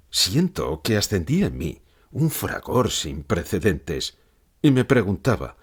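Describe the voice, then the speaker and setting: A man's voice: lowish voice, husky, full character voice. An actor playing a gloomy, anguished male philosopher in an audiobook interview, speaking in a dramatic, declamatory manner. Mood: anguished, grandiose. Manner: dramatic, declamatory, rhetorical repeated questions. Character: gloomy, lucid pessimist philosopher, brooding and anguished man. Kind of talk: acted fictional interview, audiobook.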